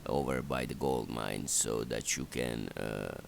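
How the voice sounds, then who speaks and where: A man giving unscripted strategy game commentary in a halting, matter-of-fact, instructional way. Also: extremely low pitch, steady tone, vocal fry, background noise. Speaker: game walkthrough narrator. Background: game ambience and effects.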